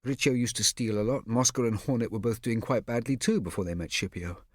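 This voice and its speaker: A man's voice: medium pitch, a little fast, darkish tone, no echo, clean studio sound, lightly acted. A man voicing a proud, protective twelve-year-old boy who speaks earnestly and defensively.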